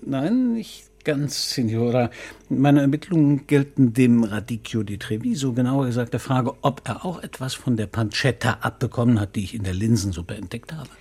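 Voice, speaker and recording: An actor in a radio play playing a calm, courteous middle-aged police commissioner who speaks in a measured, probing way. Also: male voice, mid-range voice. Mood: relaxed, wry. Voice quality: gravelly, husky.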